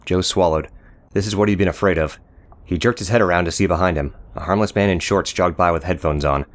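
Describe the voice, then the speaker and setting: A man's voice: sits low, brisk. A man reading scripted, dramatic audiobook narration.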